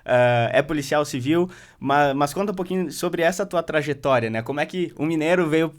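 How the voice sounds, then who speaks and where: Brazilian podcast host opening the show. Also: male voice, on the high side. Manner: welcoming, measured. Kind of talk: podcast host intro and interview.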